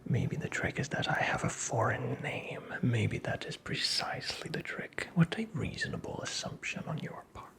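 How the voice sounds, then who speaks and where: A performer voicing a creepy, vain little male imp in a whispered ASMR fairy-tale roleplay, teasing and condescending with drawn-out phrasing. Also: mid-range voice, big pitch swings, fairly bright tone. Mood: sly, teasing. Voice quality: breathy whisper.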